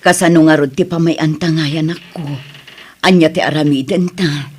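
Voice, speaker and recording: A woman with an Ilocano accent playing a gentle, protective middle-aged mother in a radio drama, soft-spoken and hesitant. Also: low-pitched, steady tone, normal speed, breathy edge. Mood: sorrowful.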